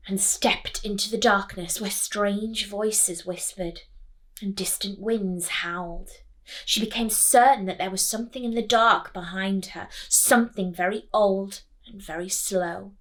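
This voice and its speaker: A woman reads to children as a teacher would, in a mid-pitched voice. Her delivery is slow and deliberate, with expressive phrasing and dramatic pauses. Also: poor audio. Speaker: storyteller and teacher. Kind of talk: expressive children's audiobook-style reading.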